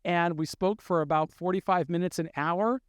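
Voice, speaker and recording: A man hosting an interview, asking measured, gracious questions of his guest. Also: high-pitched, smooth, dry recording, clean studio sound. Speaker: interview host. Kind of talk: conversational interview.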